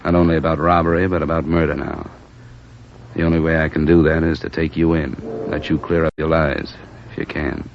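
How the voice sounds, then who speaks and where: An actor playing a hard-boiled insurance investigator in an old radio drama, terse and stern, also narrating. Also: male voice, rumbling low, flat delivery, fairly quick, gravelly. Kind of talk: 1940s radio acting and narration. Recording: hissy lo-fi old radio recording.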